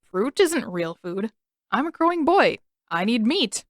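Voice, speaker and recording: A woman's voice: on the high side, big pitch swings, unhurried, no room sound, studio quality. A woman voicing a sarcastic, exasperated teenage boy in an audiobook story, with emphatic delivery. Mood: grumbling. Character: sarcastic teenage boy, exasperated, nervous big-brother type.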